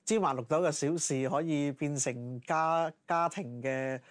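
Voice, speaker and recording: A man offers reflective commentary. His voice is a little high, and the sound is dry and close. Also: a little slow, no echo. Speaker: interviewee.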